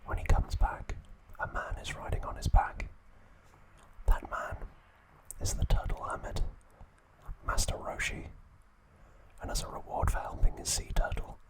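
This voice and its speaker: Whispering man, ASMR narration. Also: poor audio. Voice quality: breathy, unvoiced whisper. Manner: slow, hushed, deliberate recap with short phrases. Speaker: ASMR video host.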